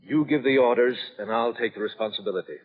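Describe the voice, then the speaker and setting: An actor playing an earnest, steady prison officer in charge, speaking with measured authority in an old film drama. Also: male voice, mid-range voice, lightly acted. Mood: concerned. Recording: muffled old film soundtrack, long echo.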